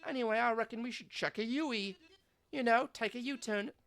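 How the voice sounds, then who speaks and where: A woman's voice: a little high, normal speed, a bit bright. A woman voicing a cheeky, upbeat teen zombie with a slangy, easygoing way of talking. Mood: chatty.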